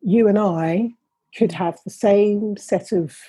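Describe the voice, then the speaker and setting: A woman's voice: mid-range voice, slow, dark tone, breathy edge, no echo. A middle-aged woman, an academic guest, speaking thoughtfully and measuredly in conversation, often restarting her sentences. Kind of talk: reflective interview conversation.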